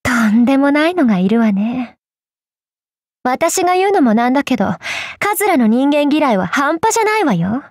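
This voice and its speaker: A woman's voice: high-pitched, sing-song, moderate pace, a little mellow, no room sound, clean studio sound. A woman voicing a proud, cool warrior in a game, with a haughty, blunt manner. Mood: cool warning. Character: proud, cool female alter ego warrior. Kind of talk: Japanese game character voice lines.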